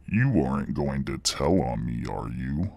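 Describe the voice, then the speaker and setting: A man voicing a menacing reptilian demon boss with a deep gravelly growl, speaking in slow, mock-polite, condescending corporate fashion. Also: animated, a little mellow, no echo, exaggerated voice.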